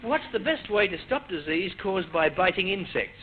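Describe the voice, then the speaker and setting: A man's voice: high voice, big pitch swings, moderate pace. A man hosting an old-time radio riddle show for children in front of an audience. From Australia. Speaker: radio quiz show host. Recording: noisy, dull old radio recording with audience. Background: studio audience, heavy noise.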